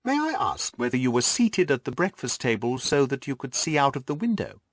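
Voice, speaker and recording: A man's voice: mid-range voice, sing-song, brisk, no echo, studio quality, natural voice. A man voicing a confident, amused detective in an audiobook, precise and teasing in his talk. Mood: assured, businesslike. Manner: wry, precise, self-assured. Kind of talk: audiobook character dialogue.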